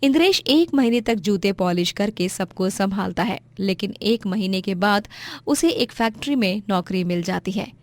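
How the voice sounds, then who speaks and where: A woman's voice: medium pitch, expressive, normal speed. A woman reading scripted moral-story narration as the storyteller.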